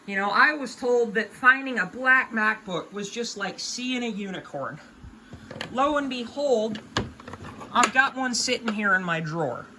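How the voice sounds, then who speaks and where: A woman's voice: on the high side, lively intonation, measured pace, background noise. A woman of about twenty giving hobby advice in a casual, unscripted rant, with an emphatic, folksy, colloquial way of talking.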